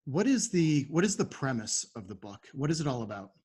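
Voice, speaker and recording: A man's voice: mid-range voice, sing-song, fairly quick, a little mellow, breathy edge. Man with a mid-range voice. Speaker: podcast host, interviewer. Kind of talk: conversational interview questions.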